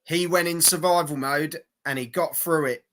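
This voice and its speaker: A man hosting a boxing watch-along in casual, blokey, sweary live chat, repeating himself for emphasis, his voice going hoarse. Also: a little high, steady tone, moderate pace, over a noisy crowd.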